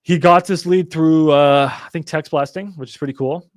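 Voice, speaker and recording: A young man hosting a live stream on real estate wholesaling, talking casually to his chat and getting excitable and exasperated at times. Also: a little high, moderate pace, dry recording, clean studio sound. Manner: excitable, swears when exasperated, talks to chat. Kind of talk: casual livestream teaching.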